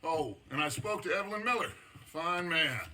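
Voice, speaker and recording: An actor playing a scheming middle-aged outlaw gang leader in a game cutscene, with a gravelly voice and a measured, theatrical, persuasive delivery. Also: male voice, fairly high pitch, expressive, pushed and bright, slight background noise. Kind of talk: video game cutscene acting.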